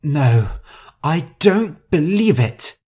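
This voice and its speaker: A woman's voice: sits low, very animated, drawn-out words, warm tone, husky, voice pushed up, heavily acted. A woman voicing a haughty, vain, hot-tempered older heiress in an audiobook story, with an imperious, theatrical manner. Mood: outraged.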